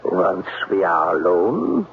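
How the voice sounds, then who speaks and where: An actor playing a menacing, lecherous German groundskeeper with clipped, insinuating, commanding speech and a German accent in a 1970s radio drama. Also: male voice, deep voice, huge pitch swings, slow, vocal fry, exaggerated voice. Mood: menacing, lustful. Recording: crackly narrow-band old radio recording.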